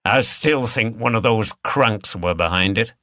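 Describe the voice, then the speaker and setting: A man's voice: fairly low pitch, unhurried, sharp tone, no room sound, clean studio sound. A man voicing a blunt, grumbling working man, bantering as a pub regular in an audiobook.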